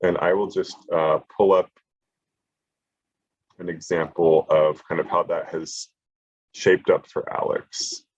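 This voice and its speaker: Low husky-voiced man presenting online. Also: little pitch movement, takes it slowly, pauses often, dry recording, dull audio. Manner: casual, lots of fillers and restarts. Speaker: college admissions presenter.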